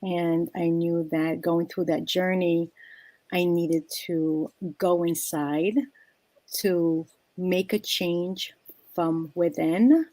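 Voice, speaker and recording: A woman speaking as a panel guest, giving a reflective inspirational talk and pausing between phrases. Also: on the low side, fairly even intonation, dark tone, no room sound, low-quality recording.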